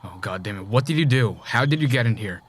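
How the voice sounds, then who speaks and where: A man's voice: mid-range voice, expressive, fast talker, a little hiss or hum, natural voice. A man playing a menacing, possessive robber in an audio drama, threatening and agitated. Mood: angry, rattled.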